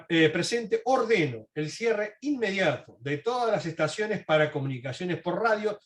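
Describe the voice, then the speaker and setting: A man giving a history presentation, reading and commenting in a halting, self-correcting way. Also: a little high. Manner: halting, with frequent self-corrections and throat clearing. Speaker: lecturer. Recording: echoey, roomy sound.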